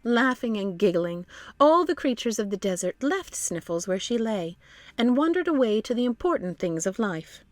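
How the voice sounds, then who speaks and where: A woman narrating a children's storybook in an expressive, deliberate storytelling manner. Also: a little high, no echo.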